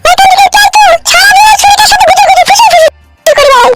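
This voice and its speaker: A woman voicing a scolding, aggrieved village housewife in a comedy cartoon, with a pitched-up voice. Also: racing, over loud music. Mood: angry scolding.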